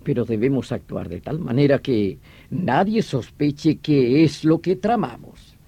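An actor playing a scheming, authoritative boss in a radio drama, conspiratorial and theatrical. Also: male voice, medium pitch, lively intonation, measured pace, creaky, dark tone, breathy edge, no echo, full character voice.

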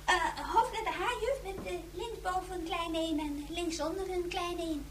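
An inquisitive little schoolgirl in a radio drama. The voice is extremely high and childlike, pert and cheeky, always ready to press the grown-up with another question. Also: normal speed. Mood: eager, reciting. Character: cheeky, inquisitive young schoolgirl in a future colony. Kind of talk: radio play acting.

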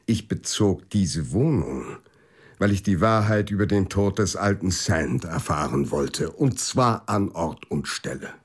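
A shrewd, worldly lord in a German radio drama. His voice is fairly low and rough-edged, with animated melody. He sounds composed and formally courteous. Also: measured pace, full character voice. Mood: composed, businesslike. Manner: urbane, assured, formally polite.

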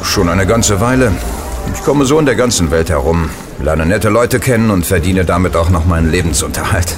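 Man acting a low-voiced, easygoing gambler over music. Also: expressive, normal speed. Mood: relaxed, advising. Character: easygoing, superstitious casino gambler, male, worldly regular. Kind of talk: German audio drama dialogue.

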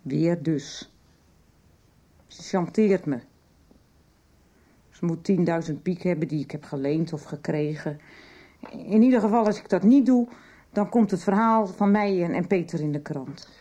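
An actress in a radio soap playing a troubled, wry mother and recovering alcoholic, middle-aged and halting. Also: fairly low pitch, mellow. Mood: anxious, confiding.

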